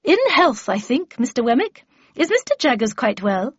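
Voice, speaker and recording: A woman voicing a brisk, bossy, cheerful older lady mentor in an audiobook. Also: a little high, big pitch swings, husky, pitched above the performer's own voice. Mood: brisk, gracious. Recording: muffled audio, roomy echo.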